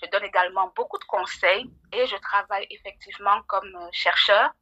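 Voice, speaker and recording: A middle-aged woman speaks as an expert guest, explaining in a conversational way. Her voice is bright and forceful, and the recording is dry and a little dull. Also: mid-range voice, moderate pace, dry recording. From Cameroon. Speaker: psychologist guest.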